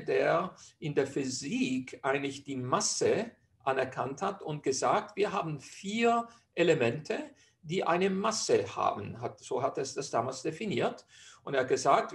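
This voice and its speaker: A man's voice: a little high, vocal fry. A man from Switzerland with a Swiss German accent, a physician presenting a webinar lecture over slides in a chatty way with frequent hesitations.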